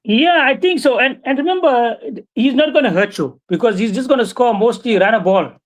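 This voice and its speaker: A man joining as a guest pundit, giving measured cricket analysis and often adding right and you know. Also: very high voice.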